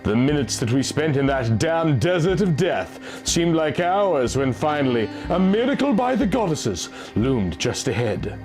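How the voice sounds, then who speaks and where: A man reads a fantasy story aloud as narrator, theatrical and emphatic. His voice is fairly high and swings widely in pitch, with music playing faintly underneath. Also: very animated, measured pace, hardly any pauses, darkish tone. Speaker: narrator, reader. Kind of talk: dramatic reading of a first-person fantasy story.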